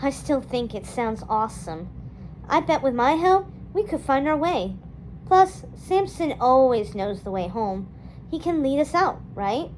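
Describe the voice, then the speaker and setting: A woman's voice: high-pitched, sing-song, a little slow, a little mellow, slight background noise, low-quality recording. A woman voicing an upbeat, playful, adventurous girl in a children's storybook read-aloud.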